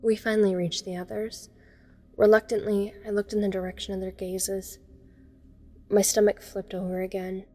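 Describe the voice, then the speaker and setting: A woman's voice: mid-range voice, little pitch movement, moderate pace, warm tone, natural voice. A woman playing a teenage girl who narrates her horror ordeal in the first person, observant and uneasy. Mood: horrified. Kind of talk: first-person dramatic audio story narration.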